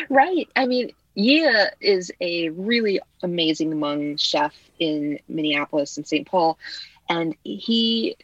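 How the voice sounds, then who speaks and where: A woman's voice: lowish voice, animated, takes it slowly, a bit bright, no echo, lo-fi sound. A woman whose first language is Vietnamese, a guest talking casually and loosely, leaning often on "like" and "you know.". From United States. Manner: chatty, heavy on fillers like "like" and "you know". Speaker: guest writer. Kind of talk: casual conversation.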